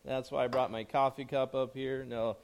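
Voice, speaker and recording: A man preaching a conversational sermon as pastor, hoarse from a chest cold, chatty and self-joking with frequent restarts. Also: mid-range voice, little pitch movement, moderate pace, a little hiss or hum.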